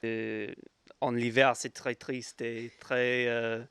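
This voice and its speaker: Slow-spoken young Englishman. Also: medium pitch, little pitch movement, vocal fry, fairly bright tone, clean tone, no echo. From England. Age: 20s. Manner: halting, searching for words. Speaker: volunteer. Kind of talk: interview testimony.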